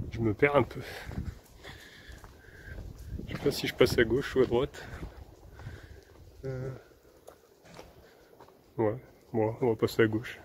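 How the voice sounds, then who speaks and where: Relaxed man vlogging on a walk. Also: mid-range voice, a little hiss or hum. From France. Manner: relaxed, chatty, talks to his dog and viewers, frequent hesitations. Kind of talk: casual walking vlog. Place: outdoors in town streets and a field, then inside a camper van. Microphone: handheld phone camera at arm's length.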